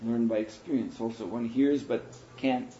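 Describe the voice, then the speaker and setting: A man speaking as a spiritual teacher in a question and answer session, thoughtful and unhurried, pausing often. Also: medium pitch, fairly even intonation, a little hiss or hum. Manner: thoughtful, unhurried, with pauses and soft laughs. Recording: roomy hall, muffled and lo-fi.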